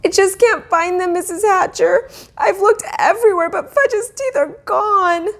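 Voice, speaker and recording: A tearful young girl from a storybook, pleading and apologetic. The voice is pitched very high and wails in a whiny way. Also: lively intonation, unhurried.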